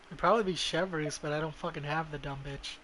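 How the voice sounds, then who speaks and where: A man's voice: on the high side, fairly even intonation, fast talker, pushed and bright, slight background noise, low-quality recording. A man doing casual gameplay commentary as a streamer, blunt and sweary, often muttering to himself. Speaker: video game streamer. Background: game music.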